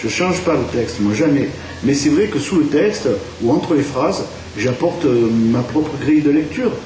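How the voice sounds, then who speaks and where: A man's voice: on the high side, animated, moderate pace, a little mellow. A man from France, a theatre director, talking in a radio interview with many fillers and restarts. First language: French. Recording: distant, echoey room with faint hiss.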